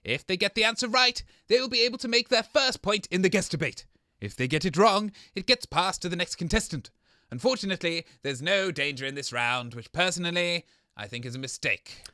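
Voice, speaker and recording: A man playing an excitable, indignant, blustering co-host on a comedy radio show. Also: high voice, brisk, no room sound, clean studio sound. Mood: grudging.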